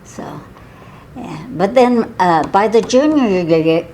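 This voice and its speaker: A woman's voice: mid-range voice, expressive, takes it slowly, dark tone, slight background noise. A woman in her eighties with a Southern Illinois accent, chatting and laughing as she reminisces in an oral history interview. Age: 80s. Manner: chatty, laughs often, with false starts. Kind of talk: casual reminiscing.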